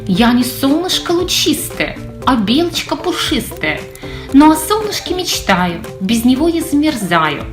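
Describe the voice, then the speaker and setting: A woman voicing a sweet, playful female squirrel who speaks gently in rhyme for a children's storybook. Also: sits high, big pitch swings, unhurried, echoey room, music in the background, voice pushed up. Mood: sweet, wistful.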